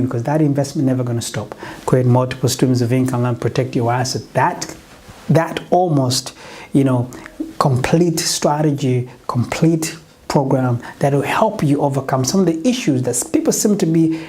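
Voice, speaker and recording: A middle-aged man from Uganda, not a native English speaker, giving an earnest motivational pitch as a business coach, often restarting and correcting himself. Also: dark tone.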